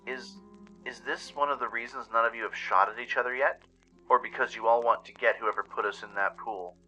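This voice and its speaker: A man's voice: mid-range voice, a little fast, sharp tone, soft background music, rough recording. A man voicing a frightened, bewildered young pony survivor in an audio drama, anxious and dramatic. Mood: wary. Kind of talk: first-person audio drama narration.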